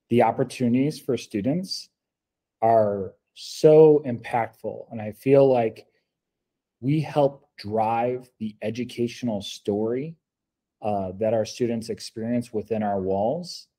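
An American man in his forties giving earnest, measured testimonial answers as a school coordinator, on a video call from an office meeting room. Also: fairly low pitch, mellow. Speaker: school district program coordinator. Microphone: laptop video call.

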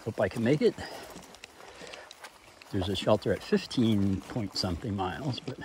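A man's voice: on the low side, lively intonation, normal speed, a little mellow, slight background noise. A man thru-hiking and vlogging casually as he walks a forest trail, speaking plainly with dry humor and thinking aloud. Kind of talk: casual vlog narration while hiking. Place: foggy forest trail outdoors. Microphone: body-mounted camera.

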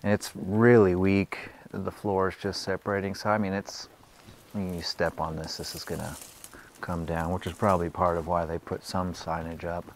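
Low-voiced guy poking around an old hangar, chatty, faint outdoor hiss. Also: moderate pace. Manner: relaxed, pointing things out as he walks. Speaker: travel vlogger. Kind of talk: casual exploring commentary.

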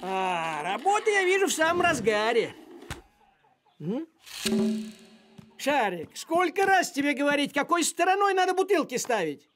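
Animated series, fussy talking cat: commanding and excitable, very lively intonation, music underneath. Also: sits high, unhurried, pauses often, full character voice. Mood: nagging. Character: bossy, fussy talking cat who runs a farm. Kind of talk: animated cartoon voice acting. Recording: music behind the voice.

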